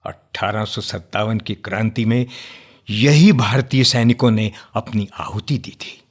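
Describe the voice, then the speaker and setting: An actor in a radio play playing an earnest, outspoken nationalist man who debates firmly but respectfully, in deliberate, declamatory phrases. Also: lowish voice, breathy edge. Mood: reproachful.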